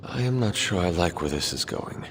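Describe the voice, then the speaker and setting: A man playing a solemn, determined warrior hero in a video game, with formal, measured speech. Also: deep voice, animated, fairly bright tone. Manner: formal, measured, few contractions. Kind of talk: video game cutscene acting. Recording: long reverb, faint music.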